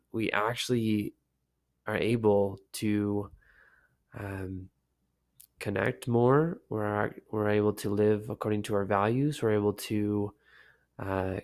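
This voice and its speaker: A man hosting a casual solo podcast, sick with a lowered, deep voice, talking in a relaxed, rambling way with many fillers. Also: vocal fry. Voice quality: deep, low voice. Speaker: podcast host.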